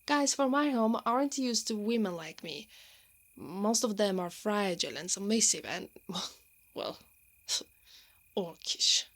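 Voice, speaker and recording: A woman acts out a brave lady knight in an intimate ASMR roleplay. She is brash, protective and quick to exasperation, with a mid-pitched voice. Her defiance tends to trip into flustered stammering that betrays a hidden soft spot. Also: animated. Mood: exasperated.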